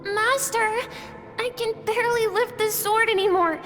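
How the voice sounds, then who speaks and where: Casting: struggling little girl apprentice, extremely high and bright, game drama, faint music behind. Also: steady tone, normal speed. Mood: weary. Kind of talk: video game character acting.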